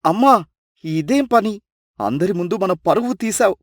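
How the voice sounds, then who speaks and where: A man voicing an embarrassed village family man who scolds in exasperation, in an animated story. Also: sits high, a little slow, no echo, studio quality. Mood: alarmed. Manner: exasperated, reproachful. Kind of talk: animated moral story acting.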